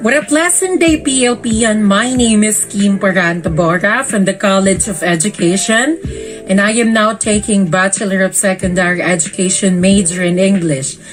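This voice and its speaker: A woman's voice: mid-range voice, normal speed, soft background music. A woman in her twenties with a Filipino accent giving a scripted self-introduction as a student officer. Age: 20s. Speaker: student council secretary.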